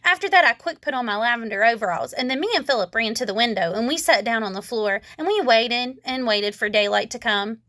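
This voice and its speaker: A woman reading a children's story aloud, expressive, with childlike phrasing. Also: a little high, fairly quick, hardly any pauses, no echo, clean studio sound. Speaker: storybook reader. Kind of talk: children's read-aloud narration.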